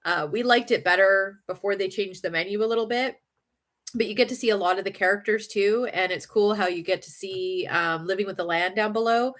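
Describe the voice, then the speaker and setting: A woman with a slight Southern accent hosts a relaxed livestream, chatting with viewers in a rambling way. She fills her talk with ums and little laughs, and her voice sits at a middle pitch. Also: brisk. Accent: slight Southern American. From northern United States. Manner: chatty and rambling, many fillers and giggles. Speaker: livestream host. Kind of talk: casual livestream chat.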